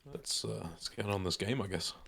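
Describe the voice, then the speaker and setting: Lively, low-voiced male game player. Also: moderate pace, gravelly, slight background noise. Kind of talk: casual joking chat.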